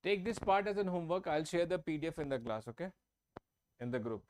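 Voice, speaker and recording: A man, his voice sitting a little high, teaches math over his own microphone. He works through each step slowly, says key points twice and keeps asking his class whether they follow. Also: lively intonation, darkish tone, slight background noise. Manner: explains step by step, repeats and checks with students. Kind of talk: online exam-prep class. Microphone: own microphone, online class.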